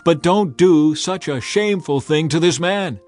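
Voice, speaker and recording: A man's voice: fairly high pitch, a little slow, a little mellow, pitched above the performer's own voice, close to an everyday voice. A man voicing a hospitable, protective old man who speaks with earnest emphasis in a dramatized scripture reading. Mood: urgent pleading. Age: older. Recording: music bed under the voice.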